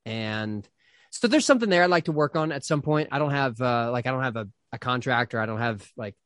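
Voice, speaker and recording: A man's voice: mid-range voice, expressive, brisk, a bit bright, dry recording, clean studio sound. A pastor and author in his thirties talking casually in an interview, rambling with lots of fillers and restarts.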